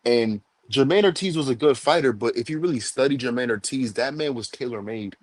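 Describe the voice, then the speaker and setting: A man's voice: mid-range voice, a little fast. A man in his late twenties co-hosting a casual boxing chat, agreeing often and laying out his points step by step. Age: 20s. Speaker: podcast co-host.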